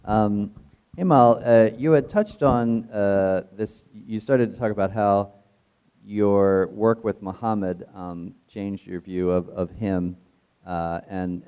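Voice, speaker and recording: A man moderating an interview in a halting, searching conversational way, on a dull, lo-fi recording. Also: on the low side, smooth.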